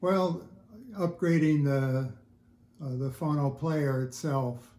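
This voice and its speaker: An older man chatting casually in an interview, explaining things in a halting, thoughtful way. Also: a little high, a little mellow. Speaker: interview guest, audiophile hobbyist. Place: indoors at home listening room. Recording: roomy, echoey home recording.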